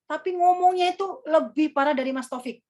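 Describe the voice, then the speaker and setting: Young woman, high-pitched, easygoing trainer with a rambling, filler-heavy delivery. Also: fairly quick, darkish tone. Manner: chatty, calls herself Kakak, many fillers. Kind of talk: casual workshop teaching.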